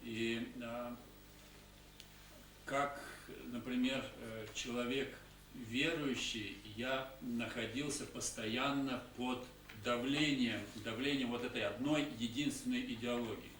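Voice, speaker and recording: Brief: male conference speaker, halting and hesitant, heard from a distance in a roomy hall with faint hiss. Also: mid-range voice, fairly even intonation, a bit bright. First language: Russian. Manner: halting, many hesitation sounds. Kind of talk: conference talk with pauses for interpreter.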